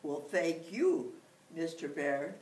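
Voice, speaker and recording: A woman voicing a cheerful young male possum with a bright, eager manner. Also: low voice, big pitch swings, takes it slowly, slight background noise, exaggerated voice. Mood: gleeful. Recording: roomy, distant mic.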